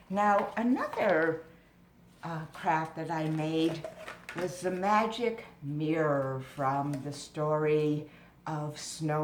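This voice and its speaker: Unhurried woman presenting crafts in echoey room. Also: fairly low pitch. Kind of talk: informal craft demonstration with storytelling. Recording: roomy echo with noticeable background noise.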